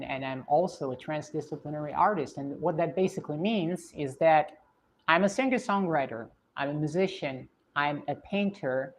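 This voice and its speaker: Low-voiced woman with Russian-British accent. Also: a little hiss or hum. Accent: Russian with British English. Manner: thoughtful, hesitant, many fillers and restarts. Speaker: streamer answering viewer questions. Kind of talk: casual livestream chat.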